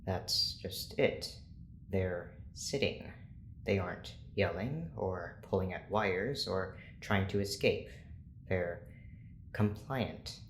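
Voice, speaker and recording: A man's voice: deep voice, lively intonation, takes it slowly, full character voice. A man playing a stern, weary scientist in an audio drama, speaking in a formal, clipped, exasperated way. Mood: troubled, brooding. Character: stern, weary male scientist running an experiment. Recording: echoey room with faint noise.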